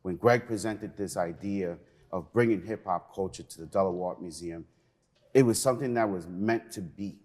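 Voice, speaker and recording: A man's voice: low-pitched, normal speed, darkish tone. A middle-aged man from Peru, raised in New York, speaking as a guest in an interview, earnest and passionate. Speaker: museum cultural program manager, guest.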